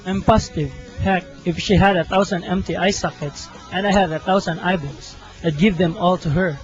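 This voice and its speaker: A lovestruck, self-sacrificing young man, acted by a young man in an amateur radio play. His voice is high and earnest, given to melodrama. The sound is dull, with faint music underneath. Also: normal speed, close to an everyday voice. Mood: earnest, defiant. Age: young adult. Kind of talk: student radio drama acting. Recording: muffled amateur recording, music behind.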